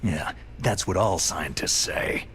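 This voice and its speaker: An actor playing a gruff, cold, battle-hardened soldier in a video game cutscene, with a gravelly voice and a terse, cynical manner. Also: male voice, low voice, expressive, slow pace, a bit bright, a little hiss or hum, heavily acted. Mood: cynical, dismissive.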